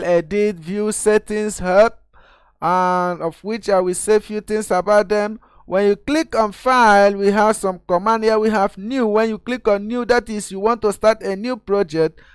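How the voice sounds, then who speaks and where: A man's voice: high-pitched, smooth, no echo. A man from Nigeria, host of his own channel, explaining a video editor step by step in a screen-recorded tutorial and calling viewers guys.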